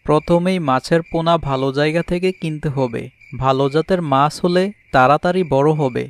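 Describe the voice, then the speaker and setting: A man's voice: fairly high pitch, a little fast, clean tone, close to an everyday voice. A man voicing a husband bird in a children's moral cartoon, explaining things patiently and fairly. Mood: caring, advising. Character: husband bird with two wives, advising and fair.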